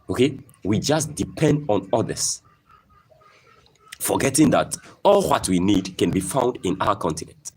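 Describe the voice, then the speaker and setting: A man's voice: lowish voice, breathy edge. A man from Ghana with a Ghanaian English accent, giving an emphatic motivational talk about farming and often repeating himself and asking "okay?". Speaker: poultry farmer.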